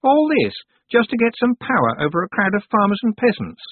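Prickly, defensive young witch girl read by a man, high and shrill, swinging pitch, dry tinny audio. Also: huge pitch swings, a little fast, husky, dry recording, heavily acted. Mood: indignant. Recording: dry, tinny phone-like sound.

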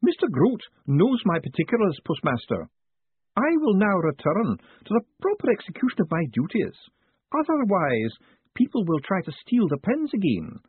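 A man's voice: sits high, wildly swooping pitch, moderate pace, slightly rough, dry recording, pitched above the performer's own voice, exaggerated voice. A man doing a prim, scolding woman clerk. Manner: prim, complaining, commanding. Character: stern, fussy woman post office counter clerk. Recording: dry, tinny phone-like sound.

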